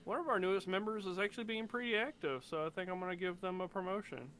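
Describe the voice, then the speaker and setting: A man's voice: high-pitched, fast, a bit bright, slight background noise. A man chatting casually as a podcast panelist, rambling a little as he searches for words. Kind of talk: casual chat.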